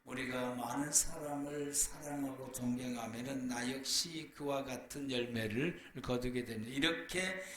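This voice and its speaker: A man preaching a church sermon as a pastor, hoarse from a cold, in a deliberate, explanatory way with pauses. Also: mid-range voice, crowd noise. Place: church, speaking to a congregation. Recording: echoey room with faint background noise.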